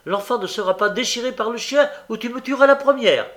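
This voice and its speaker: A fiery young woman from a storybook underworld, acted out by a male reader, with raised pitch. The delivery is impassioned, insistent and defiant. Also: very animated, normal speed, fairly bright tone, full character voice. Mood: desperate defiance.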